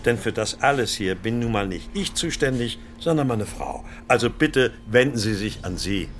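An actor in a radio play playing a haughty, irritated husband, disdainful and impatient. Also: male voice, mid-range voice, lively intonation, moderate pace, slight background noise, natural voice.

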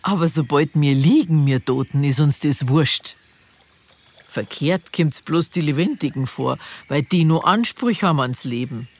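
An actress playing a wry, matter-of-fact dead grandmother with a husky voice and a Bavarian accent in a radio drama. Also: low-pitched, lively intonation, measured pace, exaggerated voice. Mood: wry, calm. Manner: dry, philosophical.

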